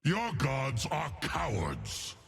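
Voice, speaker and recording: A man playing an ancient, savage bear demigod in a video game, a growling baritone declaiming grand threats with contempt. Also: huge pitch swings, drawn-out words, creaky, fairly bright tone, breathy edge, exaggerated voice. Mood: menacing rage. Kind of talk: video game character voice lines.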